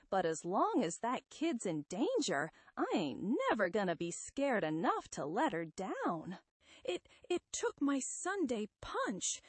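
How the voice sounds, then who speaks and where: Hulking monster hero, played by a woman: street slang, tough but tender, swooping pitch, comic-book story read aloud. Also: no echo. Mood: scared, defiant. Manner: slangy tough-guy talk with dropped grammar.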